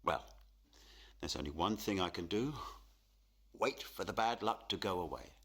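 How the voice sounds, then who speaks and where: A proud, superstitious painter in a radio play. His low, husky voice broods with self-pity and swings widely between murmur and outburst. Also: lively intonation, normal speed, fairly bright tone, full character voice. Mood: despairing, self-pitying. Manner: theatrical, brooding, self-pitying. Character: gloomy, superstitious, proud portrait painter and father.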